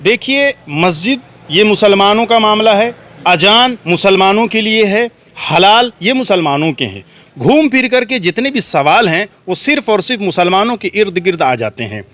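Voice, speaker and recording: A man's voice: sits high, animated, moderate pace, fairly bright tone. An Indian man giving earnest political opinions in an interview, speaking emphatically and oratorically, repeating phrases for emphasis. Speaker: street interviewee.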